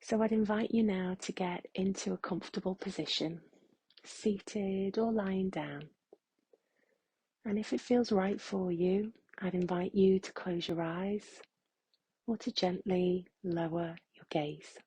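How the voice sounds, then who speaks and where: Female meditation guide: very breathy, mellow, very slow and gentle, close dry sound. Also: mid-range voice, dry recording. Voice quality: soft, breathy, hushed. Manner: gentle, unhurried, with long pauses.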